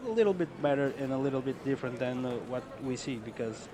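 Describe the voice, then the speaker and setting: Man, halting speech, noisy outdoors. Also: mid-range voice, expressive. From Portugal. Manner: halting, repeats words, non-native phrasing. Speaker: interview guest, sales promoter. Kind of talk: casual on-camera interview.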